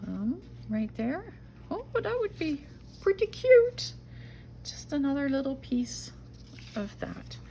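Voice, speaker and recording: A middle-aged woman from the Netherlands with a Dutch accent, chatting to herself and her viewers while making a journal page, sometimes dropping to a soft whisper. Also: high voice, big pitch swings, slow, pauses often, a little hiss or hum. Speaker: junk journal crafter. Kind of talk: casual craft-along narration.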